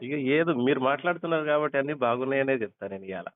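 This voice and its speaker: A man's voice: mid-range voice, animated, racing. A man chatting casually and wryly as a customer on a muffled phone call recording. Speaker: customer answering a phone survey.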